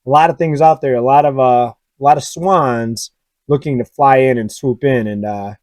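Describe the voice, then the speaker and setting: A man with a hoarse, raspy voice giving casual commentary on economic charts and talking straight to his viewers. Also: mid-range voice, normal speed, darkish tone, no echo, studio quality. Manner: conversational, asks viewers directly, many fillers. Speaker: finance YouTuber.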